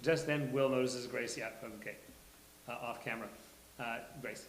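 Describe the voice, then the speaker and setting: A man's voice: medium pitch, steady tone, moderate pace. A man hosting a live table read, reading script stage directions aloud. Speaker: host and stage-direction reader. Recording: echoey room, hissy background.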